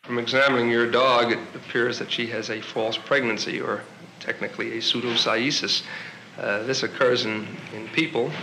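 A man with an American accent gives a measured clinical explanation as a veterinarian. His pitch stays very flat. The old portable recording is roomy and clearly noisy.

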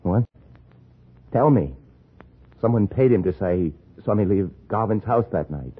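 A man's voice: fairly low pitch, expressive, unhurried, breathy edge, exaggerated voice. An American actor playing a tense, dogged man on the run in a 1950s radio thriller. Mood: urgent, demanding. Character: tense hunted man, framed for murder, urgent and dogged. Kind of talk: 1950s radio thriller acting and first-person narration. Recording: muffled old radio broadcast with music.

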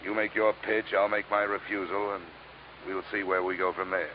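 A man's voice: lowish voice, little pitch movement, moderate pace, natural voice. An actor playing a wry, hard-boiled male investigator, sardonic and dry, in a vintage radio detective drama. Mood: weary sarcasm. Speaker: lead and narrator. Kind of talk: 1950s radio detective acting and narration. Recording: dull, faintly noisy vintage radio recording.

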